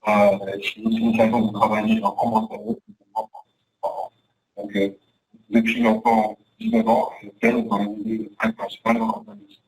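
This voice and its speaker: A man's voice: on the low side, big pitch swings, normal speed, creaky, far from the mic, rough recording. A man giving a hesitant, unscripted account of his career as a panel guest, often repeating words as he searches for phrasing. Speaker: insurance network manager on a discussion panel. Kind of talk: hesitant spoken testimony about career.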